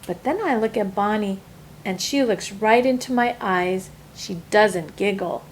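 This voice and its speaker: Woman, children's storyteller, expressive read-aloud, medium pitch, faint noise behind. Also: a little slow, rough recording. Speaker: storyteller reading a picture book aloud.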